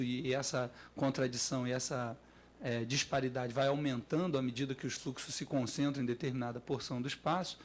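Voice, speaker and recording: A man with a Brazilian accent speaking off the cuff as an academic panelist, halting and repeating words as he develops his points. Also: mid-range voice, a little hiss or hum. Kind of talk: spontaneous panel discussion.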